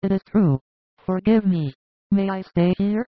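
A woman's voice: medium pitch, unhurried, no echo, lightly acted. A woman playing a humble, overworked village mother in a scripted audiobook drama, earnest and deferential. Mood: humbled. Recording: dry, narrow phone-like sound.

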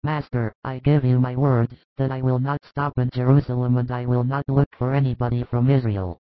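A man's voice: mid-range voice, little pitch movement, normal speed, dry recording, close to an everyday voice. A man voicing an eager, insistent disciple who pleads for trust in a storybook reading. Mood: insistent pleading. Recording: thin phone-like band, dry.

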